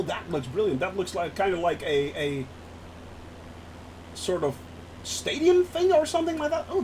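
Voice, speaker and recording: A man from the Netherlands with a Dutch accent, chatting casually and excitedly as a streamer while he flies a simulator, laughing often. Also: sits high, slight background noise, rough recording.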